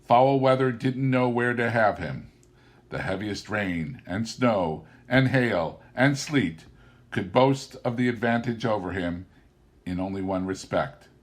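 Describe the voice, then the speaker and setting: A man reading a classic story aloud as a narrator, with deliberate, theatrical and emphatic phrasing. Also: lowish voice, animated. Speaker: audiobook narrator.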